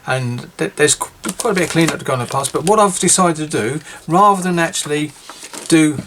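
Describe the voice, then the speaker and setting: A man's voice: a little high, a little fast, fairly bright tone, a little hiss or hum. A man with a British accent giving a casual, rambling walkthrough of a model kit, often correcting himself, his voice a little worn. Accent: British English. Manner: rambling, self-correcting, chatty. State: losing his voice. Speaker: scale model builder. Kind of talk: casual kit review video.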